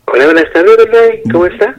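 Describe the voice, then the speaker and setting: A man calling in to a radio show with a thick, hoarse-sounding voice, heard over the phone. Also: high-pitched, brisk. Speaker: radio caller. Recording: dull phone line.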